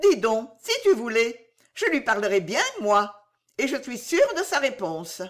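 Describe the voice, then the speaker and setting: A woman's voice: fairly high pitch, big pitch swings, a little slow, pitched above the performer's own voice. A woman voicing a playful, coquettish young woman who teases with an ingenuous, familiar manner in a storybook reading. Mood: coaxing. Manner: teasing, insistent, familiar.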